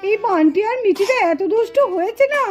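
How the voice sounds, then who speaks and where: A woman's voice: extremely high pitch, expressive, fairly quick, background noise. A woman voicing a worried young mother doll in a puppet drama, fretful and soothing.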